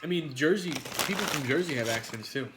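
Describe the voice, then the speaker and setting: A man's voice: a little high, lively intonation, brisk, very noisy. A man with a New York accent eating on a live stream, rambling to his chat with frequent swearing and jokes. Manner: rambling, profane, jokey with chat.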